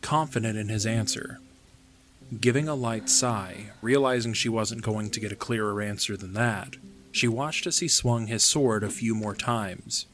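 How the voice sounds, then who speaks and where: A man's voice: fairly low pitch, measured pace, a bit bright. A man reading scripted third-person narration for a fantasy audio drama.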